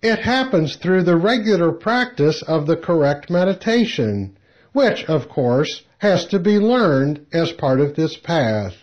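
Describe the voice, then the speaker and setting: Dull lo-fi recording, man, lively intonation, deliberate phrasing, spiritual lecture reading. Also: on the high side. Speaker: lecture reader. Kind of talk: read-aloud spiritual lecture. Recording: dull, muffled lo-fi audio.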